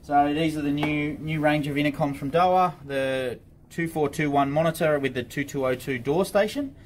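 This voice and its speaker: A man's voice: on the high side, moderate pace. A man giving a casual hands-on product tutorial, informally talking through each step as he works at an office desk. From Australia. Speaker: product demonstrator for an intercom supplier. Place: indoor office desk area. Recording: clear background noise, room ambience.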